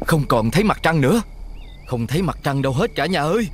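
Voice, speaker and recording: A man playing a big, strong, caring father in an animated cartoon. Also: on the high side, fast, slight background noise. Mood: concerned.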